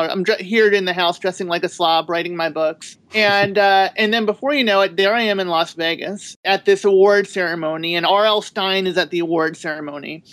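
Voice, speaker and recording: A woman's voice: on the low side, fairly bright tone, no room sound. A woman author talking as a podcast guest in a thoughtful, rambling way, with frequent restarts. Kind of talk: casual interview talk.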